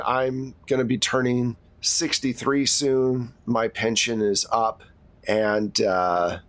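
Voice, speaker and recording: A man playing a weary school principal in their sixties, frank and gently exasperated, talking haltingly in a tabletop roleplay. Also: medium pitch, no echo. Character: weary sixty-two-year-old school principal nearing retirement, frank.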